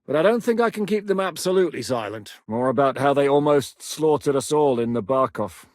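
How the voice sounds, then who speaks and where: Guy doing a gruff medieval war captain for a game, dry and blunt, really animated pitch, close dry sound. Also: a little fast, dry recording, close to an everyday voice. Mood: wry, resolute. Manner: blunt, commanding, sardonic. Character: battle-hardened medieval rebel commander, gruff, dry-humoured, resolute. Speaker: military commander in a video game. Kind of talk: dramatic video game character acting.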